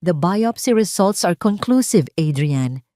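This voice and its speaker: A woman voicing a gentle, grave senior hematologist, a man, in an audio drama, measured and factual, with a Filipino accent. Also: lowish voice, animated, no room sound, clean studio sound.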